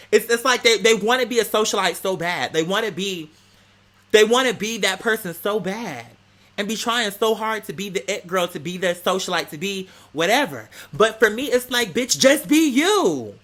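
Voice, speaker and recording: A man's voice: sits high, bright, forceful tone. A man chatting casually to supporters like a podcast host, in a sassy, slangy, emphatic storytelling way. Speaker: online creator and podcast host. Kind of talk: casual gossipy vlog chat to supporters.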